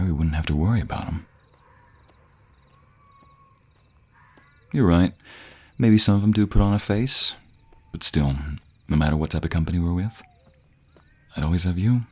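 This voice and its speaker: A man's voice: deep voice, a little mellow, breathy edge. A young man playing a devoted, teasing boyfriend in a romantic audio roleplay, murmuring affectionately close to the microphone. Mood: playful, musing. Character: playful, devoted young boyfriend, a villager with a secret. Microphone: close binaural microphone.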